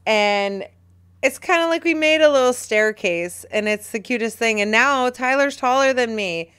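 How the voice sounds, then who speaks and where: A woman in her thirties co-hosting a casual podcast, chatty, teasing and animated. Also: a little high, normal speed, clean tone. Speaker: podcast co-host. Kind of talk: casual chat.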